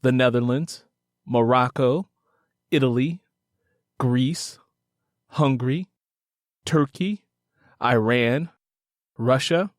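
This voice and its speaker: A man hosts a podcast with a scripted announcement. He speaks slowly at a medium pitch, recorded dry and close with no room sound. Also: dry recording. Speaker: podcast host.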